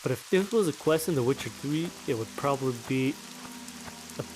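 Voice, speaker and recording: A man's voice: medium pitch, lively intonation, fairly quick, noisy background. A man giving casual commentary as he plays through a video game. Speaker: let's play creator.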